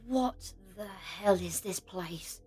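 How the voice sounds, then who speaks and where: An actress playing a compassionate, horrified adventurer in an audio drama, speaking in a halting, emotional way. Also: female voice, fairly low pitch, a little mellow, soft background music.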